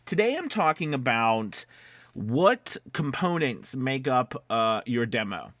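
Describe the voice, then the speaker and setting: A man's voice: mid-range voice, big pitch swings, takes it slowly, fairly bright tone, dry recording. Man giving casual coaching, dry close sound. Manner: conversational, explanatory, often checking in with "okay" and "you know". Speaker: voice-over coach and video host. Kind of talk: casual instructional vlog talk.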